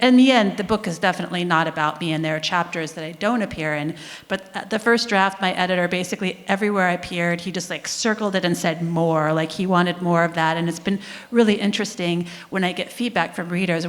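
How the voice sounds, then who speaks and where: A woman science author answering on a conference panel, chatty and loose, often saying "like". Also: on the low side. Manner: chatty, fillers like "like". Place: conference hall. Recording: reverberant conference hall.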